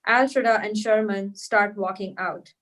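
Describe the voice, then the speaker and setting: A woman's voice: mid-range voice, steady tone, measured pace, fairly bright tone. A woman narrating stage directions at a script table read.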